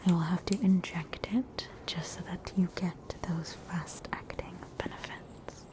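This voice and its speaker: A woman's voice: fairly low pitch, steady tone, natural voice. An Australian woman voicing a soothing, faintly haughty witch doctor in a whispered ASMR roleplay. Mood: soothing, reassuring. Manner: slow, soothing, reassuring bedside manner.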